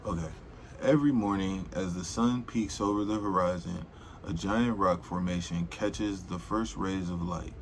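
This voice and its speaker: A man with a very low, gravelly voice reads a storybook aloud at an unhurried pace. He is informal and rambling, slipping between reading and slangy asides. There is a faint noise behind him. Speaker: host reading children's books aloud.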